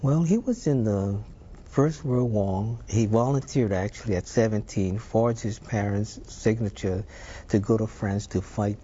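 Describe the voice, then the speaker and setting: Older man, mellow low voice. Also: dull audio, slight background noise. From Hawaii. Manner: unhurried, thoughtful storytelling with filler words. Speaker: interview guest. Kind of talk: reminiscing interview.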